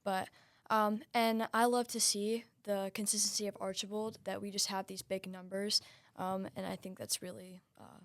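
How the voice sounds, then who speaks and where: Teen girl runner with vocal fry. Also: mid-range voice, flat delivery, moderate pace, hardly any pauses, no echo. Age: teens. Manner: casual, lots of likes and ums. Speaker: high school runner.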